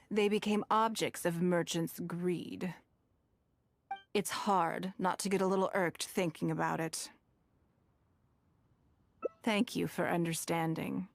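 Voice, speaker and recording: A woman playing a shrewd, wealthy, coolly commanding businesswoman in a video game, speaking in measured, eloquent, formal phrases. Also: fairly low pitch. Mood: reflective, composed.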